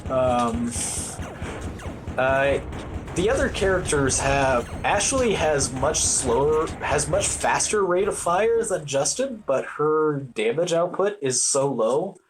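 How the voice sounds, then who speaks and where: Lively male speedrunner, unhurried commentary. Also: fairly high pitch, poor audio. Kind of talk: live speedrun commentary, casual explaining. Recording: game music behind the voice. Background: video game music.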